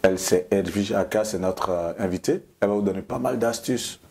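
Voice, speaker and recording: A man, hosting an interview with friendly, encouraging questions on a lapel mic in a bright studio room. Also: sits low, brisk, a little hiss or hum. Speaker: interview host. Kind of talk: talk show interviewing.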